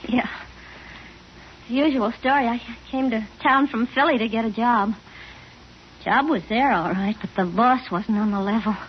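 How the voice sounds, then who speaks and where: Woman acting a high-voiced lonely drifter girl. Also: normal speed, pauses often, natural voice. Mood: weary, grateful. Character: lonely, broke young drifter girl seeking shelter. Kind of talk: old-time radio drama acting. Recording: muffled, hissy vintage radio recording.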